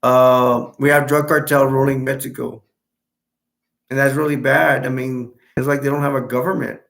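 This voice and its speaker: A young man from Texas chatting casually on a live stream, answering viewers in a rambling, halting way with many restarts. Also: mid-range voice, little pitch movement, creaky, fairly bright tone. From Texas, United States.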